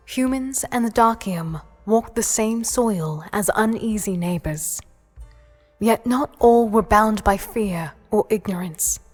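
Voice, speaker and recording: A woman playing an earnest storyteller, giving measured, deliberate scripted narration of a dark fantasy tale. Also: a little high.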